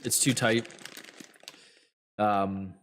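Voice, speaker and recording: A man hosting a trading show, giving blunt, rambling advice to viewers with many fillers, his voice getting hoarse. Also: lowish voice, fairly even intonation, very slow, fairly bright tone, slight background noise. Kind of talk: casual advice talk to viewers.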